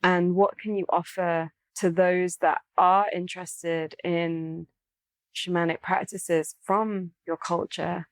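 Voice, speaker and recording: Woman podcast host, lower register, close dry sound; reflective questions, thoughtful pauses. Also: level tone, no echo. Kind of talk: reflective, conversational interviewing.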